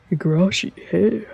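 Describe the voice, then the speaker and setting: A woman's voice: medium pitch, gravelly, a little mellow, breathy edge. A woman streamer reading a visual novel aloud, stumbling over lines now and then and breaking into playful, casual asides. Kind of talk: let's play reading with casual reactions. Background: faint game music.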